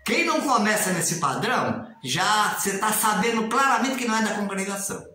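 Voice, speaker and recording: Older Brazilian man, 60s, animated casual commentary, high bright voice, very echoey tiled room. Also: fairly quick, slight background noise. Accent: Brazilian Portuguese. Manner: animated, gestures a lot, mimics formal prayers in a raised sing-song voice. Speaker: religious commentator. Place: small tiled room indoors. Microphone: webcam at desk distance.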